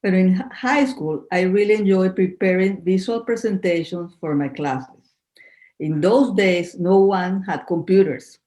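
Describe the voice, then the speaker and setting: A woman's voice: fairly low pitch, a little slow, dark tone. Venezuelan woman in her fifties. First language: Spanish. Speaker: guest. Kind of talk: podcast interview.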